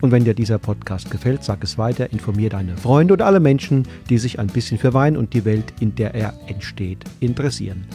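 Mellow-voiced male podcast host. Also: lowish voice, animated, fairly quick, gravelly, hardly any pauses, soft background music. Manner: friendly, recommends and promotes. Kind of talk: scripted podcast outro.

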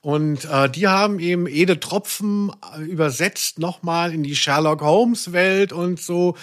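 A man's voice: a little high, measured pace, fairly bright tone. A man co-hosting a podcast in casual chat, wry and rambling, often feeling for his words. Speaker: podcast co-host. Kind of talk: casual chat about audio dramas.

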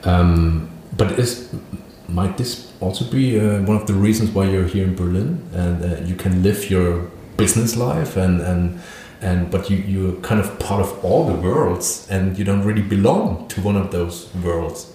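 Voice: low voice, a little slow, hardly any pauses, warm tone. A podcast host asking interview questions hesitantly, with many fillers. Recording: very echoey room with faint noise.

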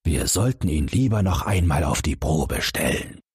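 A man voicing a stern, suspicious old lord of the manor, measured and doubting. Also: a bass voice, big pitch swings, slightly rough, no echo, studio quality, heavily acted. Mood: doubtful.

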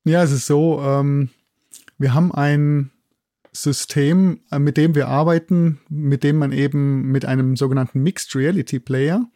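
A man's voice: on the high side, fairly even intonation, measured pace, mellow, no room sound, clean studio sound. A man from Germany with a German accent, explaining things as an expert guest, with hesitant phrasing and frequent tag questions. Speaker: guest, university zoologist. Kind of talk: explanatory interview talk.

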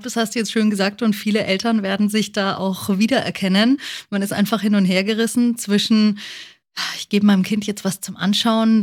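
A woman hosting an interview in a conversational style, summing up and asking questions. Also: medium pitch. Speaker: interview host.

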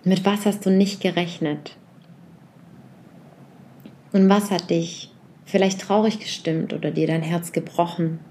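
A woman leading a guided reflection meditation, speaking softly and unhurriedly as she puts a series of gentle questions directly to the listener. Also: lowish voice, fairly even intonation, unhurried, pauses often, a little hiss or hum.

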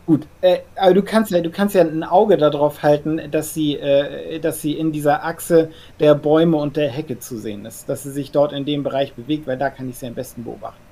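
Nervous perfectionist vampire, male player, higher-pitched, stammering, formal and earnest, for role-play audio drama. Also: fairly even intonation, fairly quick, hardly any pauses, mellow. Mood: hesitant instructing.

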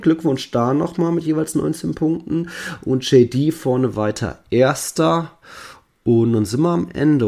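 A man's voice: medium pitch, gravelly, dark tone. A man co-hosting a football podcast in casual conversation.

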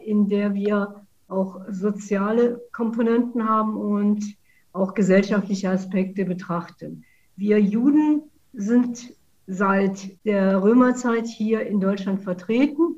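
Woman, mellow voice. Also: mid-range voice, muffled recording. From Saarland, Germany. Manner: measured, explanatory. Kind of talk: interview answers.

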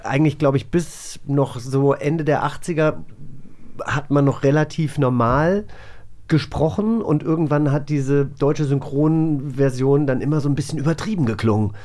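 A man's voice: fairly high pitch, normal speed, a little mellow. A man hosting a podcast, chatting casually and nerdily about audio dramas. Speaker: host of a radio play podcast. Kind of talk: casual nerdy chat.

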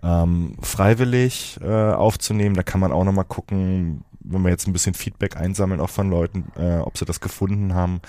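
A man with a very low, dark voice explains things in a casual discussion. He is a developer who pauses and searches as he goes. His voice is soft-edged and relaxed. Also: no room sound. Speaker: project developer and panel guest.

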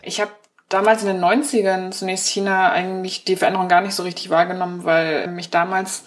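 A woman's voice: fairly low pitch, little pitch movement, a little fast. A woman in her thirties who grew up in Germany, a correspondent thoughtfully recounting personal memories over the phone in an interview. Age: 30s. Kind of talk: reflective interview answers. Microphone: telephone.

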